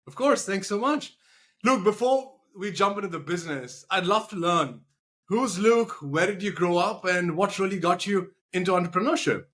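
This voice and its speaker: A man's voice: high-pitched, moderate pace, a bit bright. A man speaking as a podcast host, interviewing a guest in an informal, affirming, conversational way.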